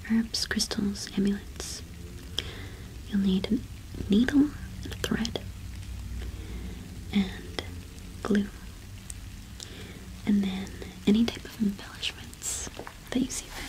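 Young female ASMR host: whispered, very even delivery, soft and gentle roleplay explaining. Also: mid-range voice, rough recording. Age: young adult.

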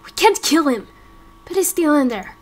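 A woman's voice: sits high, lively intonation, normal speed. A woman voicing an earnest, anxious, protective young adventurer in an amateur game roleplay. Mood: pleading.

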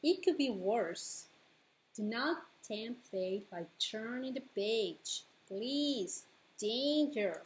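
A middle-aged woman reading a picture book aloud to preschoolers in an expressive, deliberate, bilingual storytime manner, recorded at home. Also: on the high side, a little hiss or hum. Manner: expressive, deliberate, switching between English and Spanish. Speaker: children's librarian, storytime host. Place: home living room.

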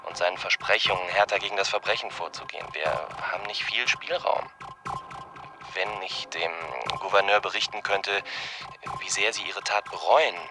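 An actor in a German radio drama playing an anxious, apologetic male defence lawyer who hedges and placates haltingly. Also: low voice, lightly acted. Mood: grave, careful. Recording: music behind the voice.

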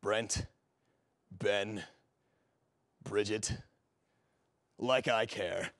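A man's voice: lowish voice, extremely slow, a bit bright, no echo, studio quality, heavily acted. A man playing a smug, suave rich ex-boyfriend, talking down to people in broad comic style. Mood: smug, dismissive. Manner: smooth, condescending.

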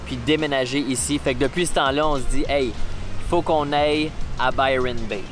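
A man's voice: medium pitch, fairly even intonation, moderate pace, music in the background. A man in his thirties with a Quebec French accent, narrating a casual travel vlog outdoors into a camera held at arm's length. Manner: upbeat, playful, joking. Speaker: travel vlogger. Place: outdoors by the sea.

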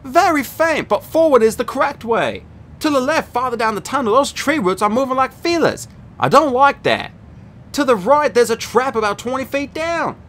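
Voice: sits high, expressive, moderate pace, a bit bright, a little hiss or hum, voice pushed up. A narrator voicing an earnest teenage girl who insists on what she sees. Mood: insistent.

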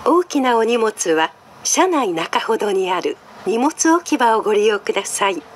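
A woman with a fairly high voice delivers a scripted train announcement. Her intonation moves up and down clearly, and her wording is polite, formal and carefully enunciated. Clear cabin noise sits behind the voice. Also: very animated, normal speed. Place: played over a monorail car's speakers.

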